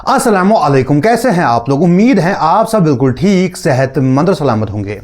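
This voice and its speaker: A man's voice: on the high side, very animated, normal speed, darkish tone. A man hosting a commentary video in a casual, teasing and sarcastic way. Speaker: host of a video commentary channel. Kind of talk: casual mocking commentary on preacher clips.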